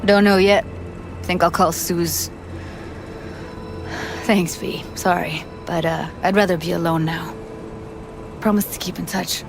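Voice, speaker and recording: Grieving tough young woman, low-voiced. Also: steady tone, a little slow, pauses often. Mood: pained, subdued. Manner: slangy, clipped, weary phrasing. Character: grieving young woman, tough, bitter, guarded tech expert. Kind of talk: naturalistic video game acting. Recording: game dialogue under clear background music.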